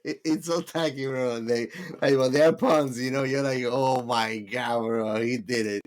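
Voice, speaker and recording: A man's voice: mid-range voice, fairly even intonation, measured pace. A man co-hosting a casual chat, talking in a slangy, buddy-like way full of "bro" and "man". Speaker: podcast co-host.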